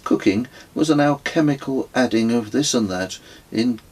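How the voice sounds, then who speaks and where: A middle-aged man reading his own memoir as an audiobook, with a husky voice and a measured, literary storytelling manner. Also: medium pitch, a little mellow, low-quality recording. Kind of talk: scripted audiobook narration.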